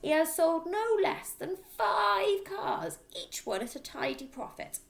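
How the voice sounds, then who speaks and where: A woman voicing a boastful, crooked father who sells used cars, with a loud, smug, emphatic delivery, in a children's storybook reading. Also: extremely high pitch, animated, unhurried, creaky, pitched above the performer's own voice, full character voice. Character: boastful, crooked middle-aged father, a used-car dealer.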